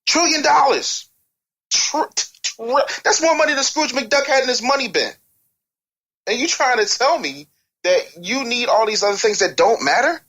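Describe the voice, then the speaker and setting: A man's voice: extremely high pitch, animated, normal speed, pushed and bright, dull audio. A middle-aged American man giving opinionated interview answers on a podcast, blunt and profane, preaching with rhetorical questions. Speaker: interview guest, business coach.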